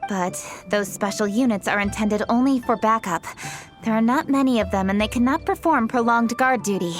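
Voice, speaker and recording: A commanding young official, voiced by a woman, her voice a little high and her delivery crisp and businesslike. Faint game music runs behind her. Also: normal speed, natural voice. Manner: crisp and authoritative.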